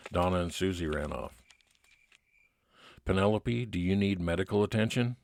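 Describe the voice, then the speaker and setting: A man playing a level-headed, determined 1950s private investigator, narrating in a measured old-time radio detective style. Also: sits low, gravelly, darkish tone, no echo. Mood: measured, resolute.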